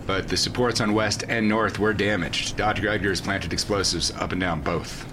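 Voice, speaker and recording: An actor playing a blunt, practical commander of a deep-sea base in a sci-fi audio drama. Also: male voice, fairly even intonation, moderate pace, background noise, natural voice. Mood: matter-of-fact. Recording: loud rumbling industrial ambience.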